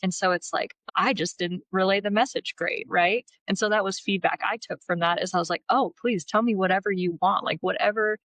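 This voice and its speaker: A woman co-hosting a podcast, with a deeper voice, chatting casually and thinking aloud as she goes. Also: fairly even intonation, fairly quick, hardly any pauses, a bit bright, dry recording, low-quality recording. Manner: rambling, thinks aloud with restarts. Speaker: podcast co-host. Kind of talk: casual chat.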